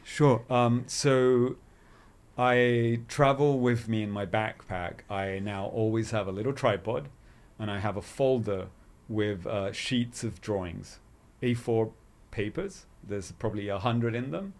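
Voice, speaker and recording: Man, lower-pitched voice, artist guest, conversational interview answers. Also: a little slow. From Chile, raised in London.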